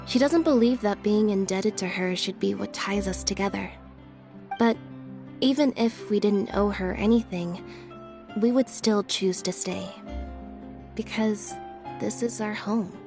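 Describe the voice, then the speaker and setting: Woman playing a composed young woman, mid-pitched. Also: soft background music, natural voice. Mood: devoted, earnest. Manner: calm, deliberate. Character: composed, devoted young woman, calm and gently serious. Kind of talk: dramatic video game dialogue.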